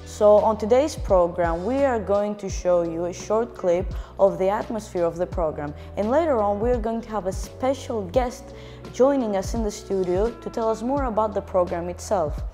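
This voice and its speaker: A woman's voice: mid-range voice, moderate pace, warm tone, big-room sound, soft background music. Young woman presenting a student show. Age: young adult. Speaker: show host. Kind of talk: scripted student broadcast presenting.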